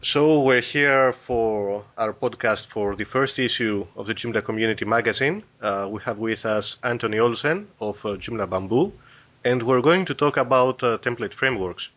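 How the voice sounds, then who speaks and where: A man hosting a podcast interview, asking questions haltingly with frequent uh fillers. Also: medium pitch, a bit bright, faint music behind. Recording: dull lo-fi audio.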